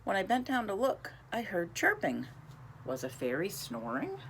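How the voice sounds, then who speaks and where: A woman's voice: mid-range voice, huge pitch swings, normal speed, a little hiss or hum, low-quality recording. A woman reading a picture book aloud expressively, now and then speaking to young listeners. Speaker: reader.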